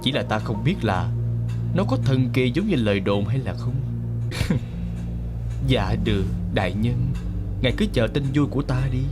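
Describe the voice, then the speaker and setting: Male actor as sly arrogant clan boss, fantasy drama dub, theatrical sneer, highly animated intonation, music underneath. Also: normal speed, pauses often, lightly acted. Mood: fawning, scheming. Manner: theatrical, menacing, sneering, gloating. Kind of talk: Vietnamese-style fantasy drama dubbing. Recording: voice over background music.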